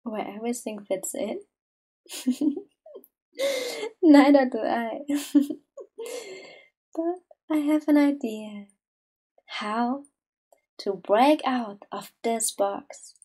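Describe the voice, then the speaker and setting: Female meditation guide, higher-pitched but mellow, gentle and unhurried, soft to near-whisper. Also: slightly rough. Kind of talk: slow soothing guided meditation.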